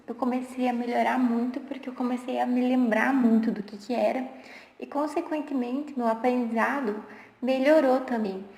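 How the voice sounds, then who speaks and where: A woman's voice: fairly high pitch, gravelly, slight background noise. A woman in her twenties, a geography teacher, telling in a chatty way how she learned the language for exams. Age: 20s. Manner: conversational, with restarts and filler words. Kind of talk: personal story pitching a study method. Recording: roomy echo with long reverb.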